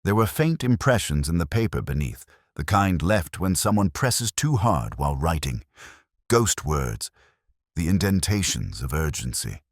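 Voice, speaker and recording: A man acts as a weary older detective narrating an audio mystery drama in an extremely low voice. His delivery is measured and introspective, rising and falling expressively. The sound is close and dry. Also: sing-song, dry recording, full character voice. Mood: weary, reflective. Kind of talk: scripted first-person mystery narration.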